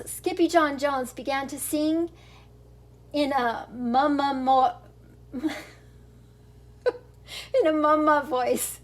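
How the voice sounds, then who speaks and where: An older woman reads a picture book aloud like a grandmother at storytime. Her voice is high and her pace very slow. She is informal and giggly, slipping in chatty asides. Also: fairly bright tone. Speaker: grandmother reading children's books aloud.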